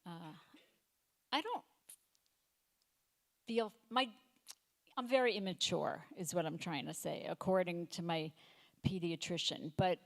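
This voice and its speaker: A woman in her fifties doing a stand-up comedy set, delivering deadpan one-liners with halting fillers and self-corrections. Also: lowish voice. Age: 50s. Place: comedy night stage before a live audience.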